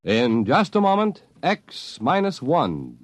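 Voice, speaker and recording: Animated vintage radio announcer, male. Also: on the high side, slow, no room sound, faint music behind. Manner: polished, promotional. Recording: muffled vintage radio broadcast.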